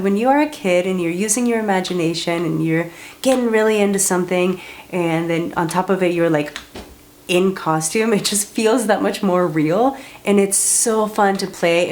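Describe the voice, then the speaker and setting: A woman from Colombia, talking to her camera about everyday family life in a relaxed, upbeat way. Her voice sits a little lower than most women's. She is chatty and loose, and she drops in filler words as she goes. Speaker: parenting vlogger. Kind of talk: casual chat to camera.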